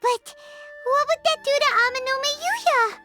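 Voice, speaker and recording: A woman voicing a tiny excitable girl fairy companion in a video game, with a squeaky childlike voice and an expressive, exclamatory manner. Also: a little slow, loud music behind the voice. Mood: startled, puzzled. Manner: expressive, exclamatory, refers to herself by name. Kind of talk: video game character dialogue.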